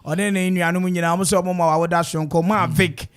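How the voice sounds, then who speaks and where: A man's voice: high-pitched, steady tone, fast talker, music in the background. A man with a Ghanaian accent hosting a radio show, bantering and laughing often, sometimes half-singing his lines. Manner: laughs often, breaks into song-like delivery. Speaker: radio host. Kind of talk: lively radio banter, mixing Twi and English. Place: radio studio.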